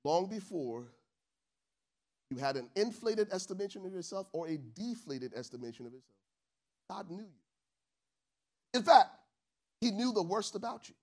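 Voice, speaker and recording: A man from Liberia preaching a sermon to his church congregation, calling for responses and swinging from soft, low asides to shouted peaks. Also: sing-song, normal speed, pauses often. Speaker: pastor. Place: church stage with live congregation.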